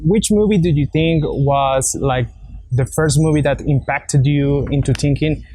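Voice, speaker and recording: A man's voice: fairly high pitch, a little slow, warm tone, dry recording, rough recording. A man interviewing a guest for a podcast in a conversational style.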